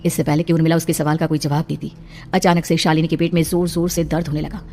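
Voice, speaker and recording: A woman's voice: low-pitched, flat delivery, fast, mellow, a little hiss or hum. A woman narrating a scripted horror cartoon story in a dramatic storytelling style.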